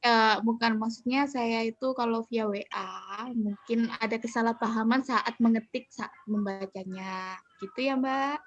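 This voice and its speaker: A woman playing a gentle group counselor. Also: on the high side, steady tone, measured pace. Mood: steady. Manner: gentle, reassuring, with polite fillers. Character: calm female counselor, 35, leading a group. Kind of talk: student counseling roleplay. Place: video call. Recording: dull, dry video-call audio.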